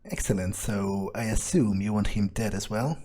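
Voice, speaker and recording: A man with a husky voice doing in-character commentary on a game, musing aloud to himself. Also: fairly low pitch, moderate pace, mellow. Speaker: gaming video maker.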